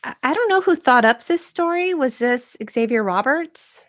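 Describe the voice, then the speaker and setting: A woman's voice: high-pitched, animated, normal speed, fairly bright tone. A middle-aged woman chatting casually as the co-host of a podcast. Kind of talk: casual chat.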